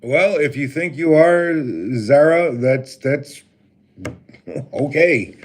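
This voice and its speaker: A man with a deep voice hosting a casual livestream, greeting viewers by name and talking with many halting filler pauses. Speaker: livestream host. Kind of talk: casual live stream chat with viewers. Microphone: laptop mic.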